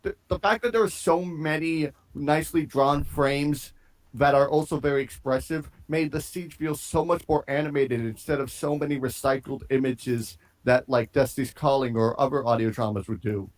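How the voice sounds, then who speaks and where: A man giving casual critique in a group chat, measured and analytical. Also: medium pitch, steady tone, rough recording. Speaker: guest reactor.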